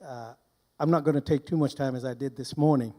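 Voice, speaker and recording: Older man from Burma, mellow, halting delivery. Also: medium pitch, fairly even intonation. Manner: halting, deliberate, with short broken phrases. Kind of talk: informal personal testimony to a live audience. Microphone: handheld microphone held close.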